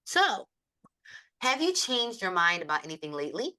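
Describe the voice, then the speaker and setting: Lively young woman hosting a chatty podcast. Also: medium pitch, moderate pace. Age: young adult. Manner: bubbly, excitable. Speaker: podcast host. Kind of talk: casual chat with friends.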